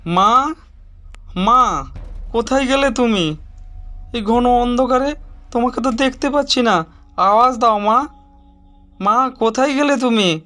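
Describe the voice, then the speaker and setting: A man's voice: very high voice, slow pace, long pauses, pushed and bright, voice pushed up, heavily acted. A man voicing a determined, pleading son on a night journey with his mother in a horror cartoon. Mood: frightened, calling. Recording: music behind the voice.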